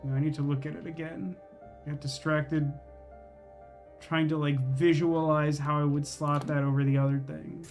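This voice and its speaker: Guy streaming a game w a pretty high voice, rambly, talking to himself, music behind him. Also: level tone, normal speed, darkish tone. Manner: rambling, musing, self-questioning. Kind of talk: casual live gameplay commentary, thinking aloud.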